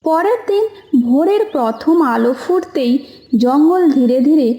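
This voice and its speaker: Female storyteller for children's cartoon, high mellow voice, scripted delivery, roomy echo. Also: lively intonation, unhurried, lo-fi sound. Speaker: narrator. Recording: roomy echo, faint music.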